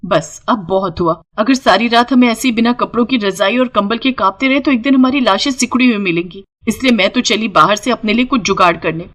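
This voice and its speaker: A woman voicing poor, resourceful young village daughters-in-law in an animated moral story, expressive and full of exclamations. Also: a little high, brisk, few pauses. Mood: shivering, fed up.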